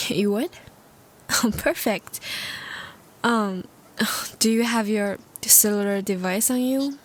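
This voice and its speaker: A woman's voice: mid-range voice, takes it slowly, long pauses. A woman playing a courteous, smitten old-world vampire, speaking formally and intimately in a roleplay audio drama. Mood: charmed, courteous. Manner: formal, old-fashioned diction, tender and breathy.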